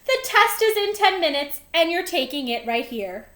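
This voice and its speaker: Woman playing a fretful, high-voiced male school principal. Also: sing-song, moderate pace, a bit bright, echoey room, full character voice. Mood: worried. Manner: dramatic, emphatic announcing. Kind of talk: children's storybook read-aloud.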